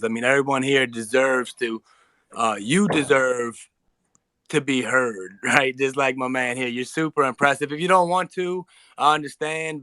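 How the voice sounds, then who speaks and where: A man's voice: medium pitch, a bit bright, no echo. A man hosting a casual live audio chat, talking in an effusive, slangy, bro-heavy way. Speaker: host.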